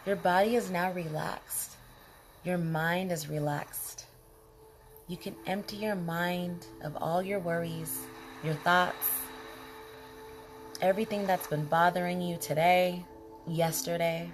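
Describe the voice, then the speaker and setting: Lady doing a calm guided body scan, really slow and soothing, clear music playing behind her. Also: fairly low pitch. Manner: calm, soothing, unhurried, with short phrases and pauses. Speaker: guided meditation leader.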